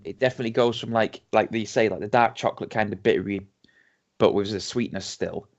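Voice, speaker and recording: A man with a Yorkshire accent co-hosting a casual whisky tasting podcast. He reads tasting notes slowly and teases his friend. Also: on the low side, steady tone. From Yorkshire, England. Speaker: podcast co-host. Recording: dull lo-fi home podcast audio.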